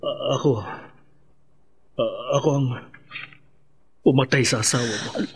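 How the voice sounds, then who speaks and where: A man with a Filipino accent acting a guilt-ridden husband and confessed killer in a radio drama, halting and heavy with remorse. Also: medium pitch, fairly even intonation, creaky. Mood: remorseful.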